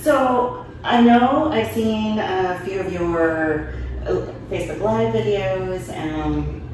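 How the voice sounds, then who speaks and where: A woman's voice: mid-range voice, slow. A woman hosting a conversational interview, affirming her guest and recapping what is said. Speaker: interviewer, marketing host. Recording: very echoey room, distant mic, noisy.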